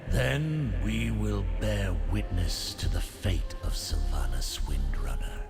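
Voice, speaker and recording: A weary, grave leader from a fantasy game. His voice is fairly low and rough with creak, and he speaks slowly and solemnly. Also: big pitch swings, over music, full character voice. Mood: somber. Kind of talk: dramatic video game acting.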